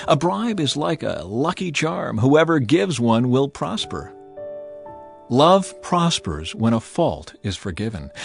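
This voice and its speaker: A man narrating an audio Bible, reading short scripted verses in a measured, declarative and expressive way. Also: mid-range voice.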